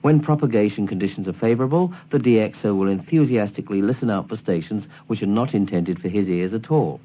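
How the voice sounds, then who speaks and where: A man reading scripted instructional narration in a measured, precisely enunciated way, as the presenter of a tutorial album. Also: lowish voice. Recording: tinny, band-limited 1979 album recording.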